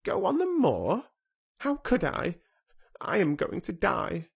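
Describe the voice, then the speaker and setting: A man's voice: a little high, lively intonation, a little slow, gravelly, slightly rough, highs cut off, voice pushed up, full character voice. A man reading aloud who voices a petulant, sickly bedridden boy with a fretful, cross manner. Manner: fretful, cross, self-pitying.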